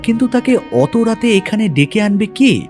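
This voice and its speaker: A man playing a detective's curious male companion in an audio drama, asking questions in an eager, animated way. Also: sits high, normal speed, pitched above the performer's own voice. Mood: puzzled. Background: background music.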